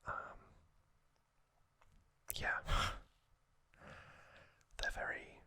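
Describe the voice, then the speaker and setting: An ASMR host speaking in a breathy, unvoiced whisper, giving a hesitant, off-the-cuff recap. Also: very slow, bright, forceful tone, no echo.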